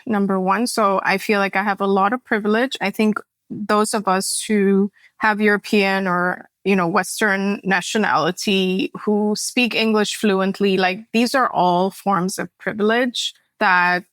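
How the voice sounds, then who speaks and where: A woman in her forties who grew up speaking Sudanese Arabic, talking in a relaxed, thoughtful way and filling her sentences with "you know" and "like." Her voice sits at a medium pitch and sounds close and dry, with no room echo. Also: measured pace. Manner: reflective, frequent fillers and soft laughs. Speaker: guest. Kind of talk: casual interview conversation.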